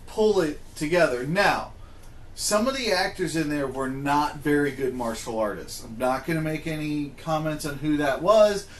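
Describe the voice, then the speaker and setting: A man hosting a casual discussion in an informal, opinionated way. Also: a little high. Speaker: podcast host. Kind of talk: casual discussion of video game movies. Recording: roomy, echoing room sound.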